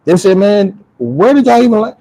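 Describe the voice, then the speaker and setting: A man's voice: high voice, big pitch swings, moderate pace, dark tone, no echo. A man talking casually and with strong opinions, emphatic and repeating phrases for stress. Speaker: talk show speaker.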